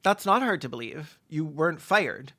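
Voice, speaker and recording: A video essayist delivers sarcastic commentary on a film in a mid-pitched voice. The manner is casual and sweary, like someone picking a story apart for friends. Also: animated, moderate pace, bright, forceful tone.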